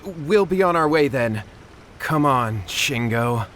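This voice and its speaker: A man's voice: medium pitch, expressive, takes it slowly, fairly bright tone, a little hiss or hum. A man voicing a worried, apologetic young brother in a video game, polite and concerned. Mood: grateful.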